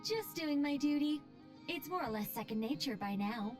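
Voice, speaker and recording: An actress playing a poised, playful, self-assured angelic mage in a video game, airily polite and gently boastful. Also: female voice, sits high, animated, normal speed. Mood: thoughtful, grave. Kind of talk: video game character dialogue. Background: background music.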